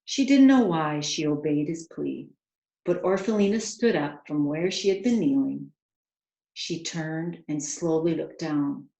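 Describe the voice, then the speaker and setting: A woman's voice: low voice, lively intonation, a little slow, vocal fry, dark tone, lo-fi sound. A woman reading a children's story aloud as its author, narrating with dramatic, earnest expression. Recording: roomy, echoing home recording.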